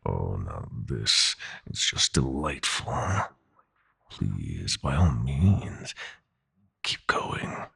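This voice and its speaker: Very deep, gravelly demon prince. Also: male voice, very animated, extremely slow, a bit bright, exaggerated voice. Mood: taunting. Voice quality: husky, gravelly, breathy. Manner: mock-polite, taunting, drawling, theatrical. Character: smug, sadistic male demon prince of nightmares. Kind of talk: audio roleplay villain acting.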